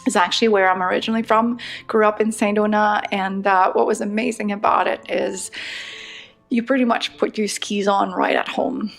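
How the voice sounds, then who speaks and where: Woman, Quebec French accent, French native, gravelly voice, reflective chat, faint music behind. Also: medium pitch, little pitch movement, moderate pace. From Quebec, Canada. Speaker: podcast guest. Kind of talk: conversational interview.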